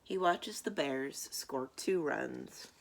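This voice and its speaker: A woman reading children's stories aloud as a library storytime reader, in a deliberate, expressive way. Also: a bass voice, creaky, a little hiss or hum.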